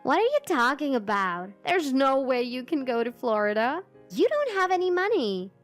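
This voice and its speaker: A woman voicing a bossy, condescending older sister who talks in a shrill, scornful way. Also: very animated, moderate pace, soft background music.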